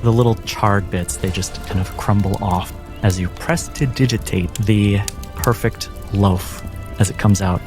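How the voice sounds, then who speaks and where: A man narrating a tabletop fantasy game as game master, in a measured storytelling manner. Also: lowish voice, fairly even intonation. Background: music.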